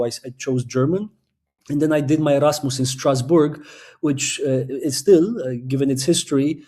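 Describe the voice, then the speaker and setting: Man, mellow warm tone, podcast guest, thoughtful, long explanations, frequent restarts. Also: medium pitch. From Romania. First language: Romanian. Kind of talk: casual interview talk.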